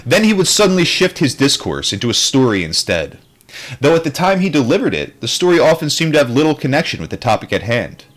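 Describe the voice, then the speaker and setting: A man's voice: medium pitch, sing-song, fast, fairly bright tone. A man reading aloud from a book in an informal way, sometimes adding casual commentary and stumbling over difficult terms. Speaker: book reader, streamer.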